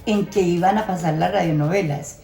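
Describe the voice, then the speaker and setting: A woman's voice: fairly low pitch, normal speed, creaky, mellow, faint music behind. Older Colombian woman in a roomy space. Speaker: audience member giving testimony. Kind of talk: reminiscing testimony.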